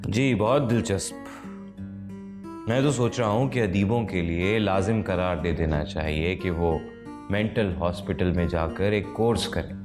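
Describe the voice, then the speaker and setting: A low-voiced, world-weary writer in a period TV drama. He speaks with dry, polite sarcasm. Music plays clearly behind him. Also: a little slow, darkish tone. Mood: dry irony. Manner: dry, ironic, courteous sarcasm. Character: sardonic, world-weary male Urdu short-story writer. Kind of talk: television period drama acting.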